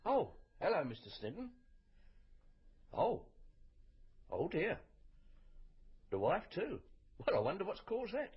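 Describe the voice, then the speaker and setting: An actor playing a chatty, obliging local baker in a radio drama, with an informal, busy, sing-song delivery. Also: male voice, high voice, huge pitch swings, slow pace, pauses often, husky, full character voice. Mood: gossipy, concerned. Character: chatty, obliging male neighbourhood baker, plain-spoken. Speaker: bakery shopkeeper. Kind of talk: BBC radio drama acting. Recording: dull, muffled radio recording.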